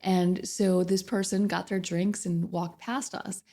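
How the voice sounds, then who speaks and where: A woman coach with a slightly hoarse voice from a cold, chatting directly to viewers about relationships and asking them questions. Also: fairly low pitch, flat delivery, a little slow, dark tone. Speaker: narcissistic abuse recovery coach. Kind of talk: casual direct-to-camera advice talk.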